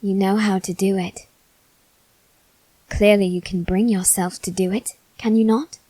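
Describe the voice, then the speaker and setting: A woman voicing a melancholy teenage noble boy, resigned to death, in a soft, deliberate ASMR roleplay monologue with dramatic pauses. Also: mid-range voice, fairly even intonation, no echo, close to an everyday voice, rough recording. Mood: pleading, regretful.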